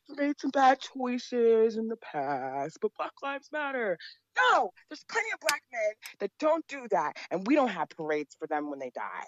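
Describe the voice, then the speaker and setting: A woman's voice: on the high side, sing-song, moderate pace, no room sound, lo-fi sound. A woman speaking as an interview guest, blunt and emphatic, repeating her points. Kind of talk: opinionated interview talk.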